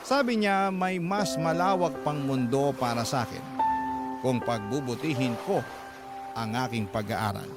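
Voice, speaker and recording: Man narrating sentimental story over loud music. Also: mid-range voice, measured pace. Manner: reads a letter writer's confession in dramatic phrases. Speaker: radio drama host and narrator. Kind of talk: scripted first-person story narration, sentimental. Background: prominent music.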